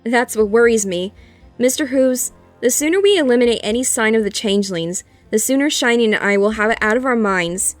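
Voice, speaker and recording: A woman playing a poised, gently teasing cartoon pony princess, composed and reassuring. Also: on the high side, expressive, brisk, no echo, soft background music, lightly acted. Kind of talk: scripted audio drama acting.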